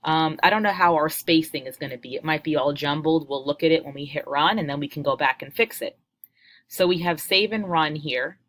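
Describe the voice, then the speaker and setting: A woman's voice: sits low, fairly quick, a bit bright, slight background noise. A woman in her forties, a teacher walking students through a coding tutorial patiently and step by step, upbeat. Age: 40s. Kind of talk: screen-share coding tutorial.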